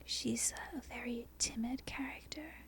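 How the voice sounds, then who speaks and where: A woman from Australia with a softened Australian accent, whispering an unscripted ASMR ramble in a halting, breathy way, often correcting herself. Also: a little high, fairly even intonation. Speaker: amateur ASMR video creator. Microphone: non-binaural microphone.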